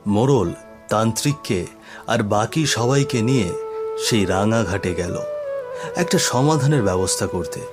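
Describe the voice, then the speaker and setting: A man's voice: fairly low pitch, lively intonation, measured pace, pauses often, music in the background. A man reading scripted narration for a horror cartoon story.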